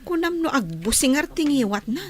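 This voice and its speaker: Very high-pitched, lively young woman. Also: moderate pace. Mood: chatty. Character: spirited young woman, a student, chatty and inquisitive. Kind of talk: radio drama acting.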